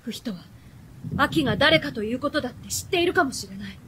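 A reckless, proud youth in a radio drama, talking in blunt boyish phrases. The voice is high and forceful, over clear background noise. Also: female voice, lively intonation, fast, low-quality recording. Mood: heated. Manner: blunt masculine speech.

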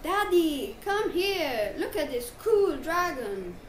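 A woman's voice: very high voice, big pitch swings, slow, heavily acted. A woman playing a sulky, pleading young boy in a radio drama, with a whiny delivery. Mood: excited. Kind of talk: amateur radio drama acting. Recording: echoey room, some background noise.

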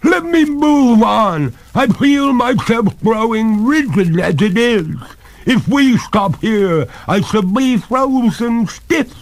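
A genteel lady water ghost from a storybook, played by a man, pitched far up and swooping. The speech is slow, drawn out and haughty, full of melodramatic, despairing pleas. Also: very animated, a little mellow, heavily acted. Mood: alarmed pleading. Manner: formal, drawn-out, melodramatic pleading.

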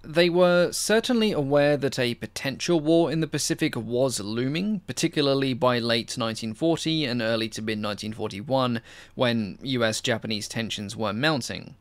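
Young man, history host, measured explainer, mid-pitched voice, dry close sound. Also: no echo. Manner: measured, informative, lightly casual asides. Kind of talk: Q&A explainer on military aviation history.

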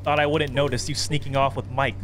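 A man voicing a possessive, softly threatening psychopath of thirty from a horror game, coaxing and sinister. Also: medium pitch, little pitch movement, a little fast, slight background noise, close to an everyday voice. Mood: coaxing, accusing. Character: menacing thirty-year-old male psychopath, possessive, calmly sinister. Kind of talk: reading horror game dialogue aloud.

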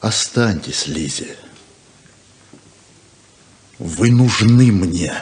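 A proud, gruff lawman in an old radio play. His voice is mid-pitched and expressive, with slow, earnest delivery. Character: gruff, proud male sheriff's deputy, reticent and earnest. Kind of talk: 1970s Soviet radio drama acting.

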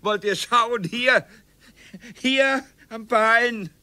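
A man's voice: very high voice, drawn-out words, pushed and bright, clean tone, heavily acted. An actor playing a cheerful, chatty middle-aged mailman who chuckles as he talks in a radio play. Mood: amused. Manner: chuckling, jovial, laughs often. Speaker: mail carrier friend named Horst.

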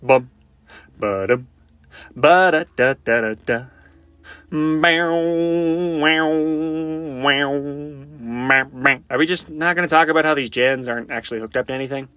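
A man's voice: a little high, slow, long pauses, bright, forceful tone, dry recording. A man voicing a cocky, flirty, goofy wisecracking survivor for fan-made video game lines, in a playful, theatrical, quippy manner. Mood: wry, joking. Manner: playful, theatrical, quippy, often sing-song.

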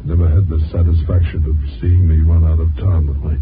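A hard-boiled American newspaperman in a 1950s radio play. His voice sits low. The old broadcast sounds narrow and muffled, with music in the mix. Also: flat delivery, a little fast. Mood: bitter, defeated. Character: ambitious hard-boiled newspaper reporter, later a bitter washed-up loser. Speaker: actor in a radio drama.

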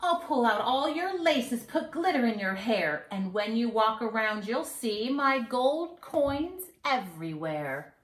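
A woman's voice: on the high side, expressive, takes it slowly, echoey room. A woman voicing a naughty, boastful little old leprechaun in a storybook, taunting in theatrical singsong rhymes. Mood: mischievous boasting. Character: naughty, boastful two-hundred-year-old little male leprechaun trickster. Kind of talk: storybook read-aloud. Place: living room at home.

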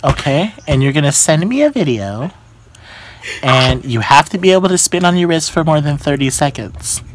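Higher-pitched young man on prank calls. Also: very animated, moderate pace. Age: 20s. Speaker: prank caller. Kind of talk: casual prank phone calls. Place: small bedroom, indoors. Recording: slight background noise, close home recording.

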